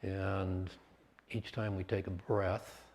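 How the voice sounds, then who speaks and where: A man leading a guided meditation in short, measured phrases with pauses between them. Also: low voice, level tone, darkish tone. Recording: long hall-like reverb.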